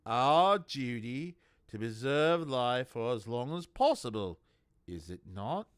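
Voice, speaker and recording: A man voicing a cold, soft-spoken male church leader with quiet, measured menace. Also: mid-range voice, sing-song, smooth, dry recording, clean studio sound.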